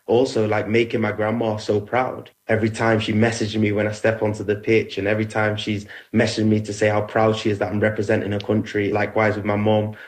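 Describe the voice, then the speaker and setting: A man's voice: on the low side, completely flat delivery, brisk, few pauses. A young man with an English accent, a footballer giving reflective, heartfelt interview answers. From England.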